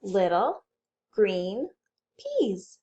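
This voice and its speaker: A woman hosting a children's storytime, reading aloud and chanting rhymes in an animated, exaggerated way for young children. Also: medium pitch, very slow, dull audio, slight background noise. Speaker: children's librarian storytime host.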